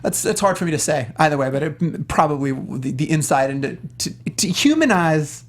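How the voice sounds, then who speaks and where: A man's voice: fairly high pitch, a little fast. A man co-hosting a casual film discussion show, speaking with emphatic, earnest enthusiasm. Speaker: talk show co-host.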